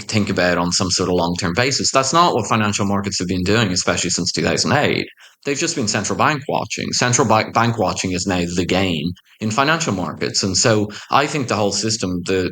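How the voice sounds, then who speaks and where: A man's voice: sits low, fairly bright tone. A man speaking as a panel guest, giving blunt, opinionated views in a discussion. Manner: blunt, opinionated, pushes his points hard.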